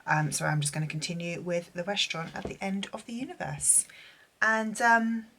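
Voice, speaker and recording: Low-voiced woman rambling about books, lots of ums and restarts. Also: moderate pace, vocal fry, a bit bright. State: losing her voice, tired and unwell. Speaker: book vlogger. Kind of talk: casual chat.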